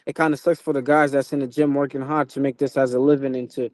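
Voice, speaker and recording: Young man, mellow and halting, casual chat. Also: mid-range voice, flat delivery, no room sound, clean studio sound. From Portugal, moved to the US at twelve. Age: young adult. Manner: repetitive, halting, frequent "you know". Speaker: professional boxer, interview guest.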